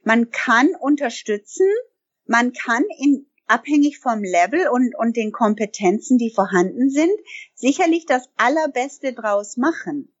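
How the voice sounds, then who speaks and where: A woman's voice: fairly high pitch, expressive, unhurried, lo-fi sound. A bilingual woman who owns a language school, answering interview questions in an emphatic way and often correcting herself mid-sentence. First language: bilingual German and English.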